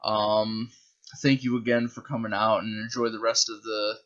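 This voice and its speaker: A man's voice: fairly low pitch, level tone, moderate pace, fairly bright tone, dull audio. A man narrating his own short story in a dramatic storybook style. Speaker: author reading his own zine.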